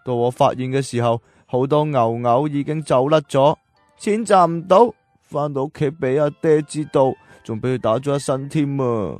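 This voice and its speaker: A man playing a gentle, poor cowherd boy who loves learning in a radio drama, telling his story earnestly. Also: medium pitch, moderate pace, dry recording, soft background music, lightly acted. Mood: wistful.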